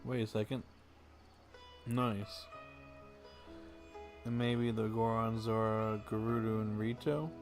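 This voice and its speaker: A man's voice: lowish voice, steady tone, slow, long pauses. A man giving casual livestream game commentary, musing in a laid-back, rambling way. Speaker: livestream gamer. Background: game music.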